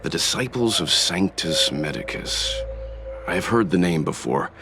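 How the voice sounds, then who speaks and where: A man playing a composed, analytical traveling scholar in a video game, speaking in a measured, deliberate way. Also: low-pitched, very animated, full character voice. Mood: pondering. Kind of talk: English video game dub acting. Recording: game music behind the voice.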